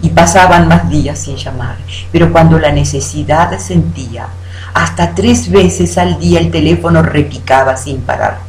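A woman declaiming a poem in an expressive, deliberate manner with dramatic pauses. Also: low voice. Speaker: poetry reciter. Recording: roomy echo with background hum.

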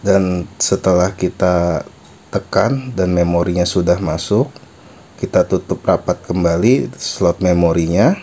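A man's voice: sits low, unhurried, warm tone. A man narrating a step-by-step product tutorial in an explanatory, instructional manner. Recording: faint background noise.

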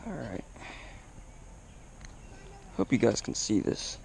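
A man chatting casually to viewers as a fishing vlogger, with an excitable, exclaiming, slangy way of talking, recorded outdoors on a body-worn action camera. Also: lowish voice, takes it slowly, creaky. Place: outdoors on a grassy reservoir bank. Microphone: body-worn action camera mic. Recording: outdoor action camera with faint background noise.

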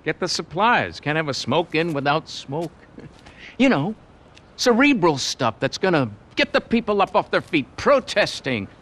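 Animated, spacey stoner activist. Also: male voice, a little high, moderate pace. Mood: earnest. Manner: grandiose, earnest, scattered. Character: earnest, spacey stoner activist man, grandiose. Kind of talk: video game cutscene acting.

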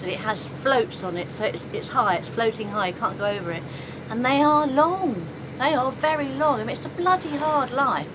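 A middle-aged woman talking plainly to camera outdoors on a sailboat. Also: fairly high pitch. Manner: plainspoken, matter-of-fact. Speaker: sailing vlogger. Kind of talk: casual on-camera talk. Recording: clear wind and boat noise.

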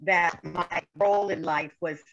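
Earnest low-voiced woman on a lo-fi call. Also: fairly even intonation, unhurried, fairly bright tone. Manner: earnest, recites a poem with conviction. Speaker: workshop participant. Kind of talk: personal story in discussion. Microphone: video call.

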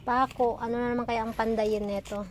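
A woman's voice: on the high side, little pitch movement, fast talker. A Filipino woman in her thirties with a Filipino accent, chatting casually to a phone as a vlogger. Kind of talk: casual chat to camera. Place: inside a car and a discount store. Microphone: handheld phone at arm's length. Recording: clear ambient car and store noise.

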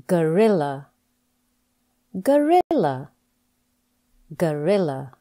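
A woman English teacher reading scripted pronunciation practice with deliberate, careful enunciation. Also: deep voice, sing-song, dark tone. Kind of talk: scripted lesson narration, reading words for practice.

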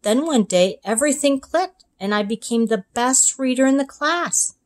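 A woman voicing a supportive, reassuring mother in a children's storybook, speaking gently. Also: high voice, animated, moderate pace, pitched above the performer's own voice. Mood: encouraging. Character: supportive, encouraging mother of a young girl.

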